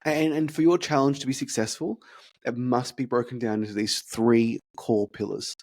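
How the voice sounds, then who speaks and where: A man's voice: medium pitch, steady tone, normal speed, darkish tone. A man, casually breaking down a sales script, stuttering and repeating words as he talks. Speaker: fitness business consultant. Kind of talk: casual podcast chat, role-playing sales calls.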